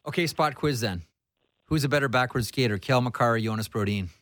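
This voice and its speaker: A male radio host talks hockey in a conversational, chummy style. His voice sits at a medium pitch, and he addresses guests like old pals. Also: fast talker, a bit bright, no room sound, clean studio sound. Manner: chummy, calls guests pal. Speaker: sports radio show host. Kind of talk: conversational sports talk hosting.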